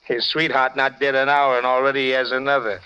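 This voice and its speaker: A man's voice: mid-range voice, little pitch movement, normal speed, bright, forceful tone, smooth, no room sound, natural voice. An actor playing a menacing, mocking gangster killer in a 1940s radio crime drama, with slangy tough-guy talk. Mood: mocking amusement. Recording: dull vintage radio recording.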